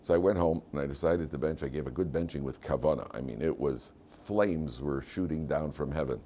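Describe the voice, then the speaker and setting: Casting: American male rabbi, extremely deep hoarse voice, halting informal Torah-class delivery with frequent repeats, faintly noisy recording. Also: warm tone. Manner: halting, repeats words and restarts often. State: hoarse from medication. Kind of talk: informal religious lesson.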